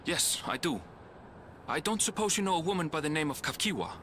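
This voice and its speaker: A man's voice: a little high, expressive, a little fast, bright, forceful tone, a little hiss or hum. An actor playing an earnest young traveler in a video game, polite and eager in his speech. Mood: curious, hopeful. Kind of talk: scripted video game cutscene acting.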